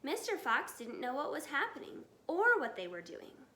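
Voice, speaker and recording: High-pitched animated woman reading aloud. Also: moderate pace, roomy sound. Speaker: storybook reader. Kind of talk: expressive children's read-aloud.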